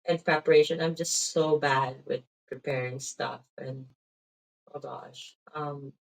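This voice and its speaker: A woman's voice: sits low, a little mellow, low-quality recording. A middle-aged woman with a Filipino accent gives a tired, rambling casual talk about her faith, full of "um"s and "right?" tags. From Philippines. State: tired, drained of energy.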